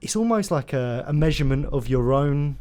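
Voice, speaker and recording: A man's voice: on the high side, expressive, fairly quick, dark tone, a little hiss or hum. A man, a company co-founder talking through his story in a casual podcast chat. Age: 30s. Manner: reflective, talks in long run-on stretches with "you know what".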